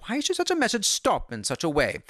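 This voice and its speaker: A reader voicing a brilliant, excitable male detective in a Victorian audiobook, in a raised, quick, exclaiming voice. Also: mid-range voice, dry recording, clean studio sound, pitched above the performer's own voice. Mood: urgent. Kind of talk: audiobook character reading.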